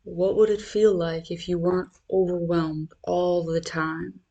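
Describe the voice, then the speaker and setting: A meditation guide speaking in a measured, reassuring, instructional manner. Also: medium pitch, flat delivery, mellow, dull audio.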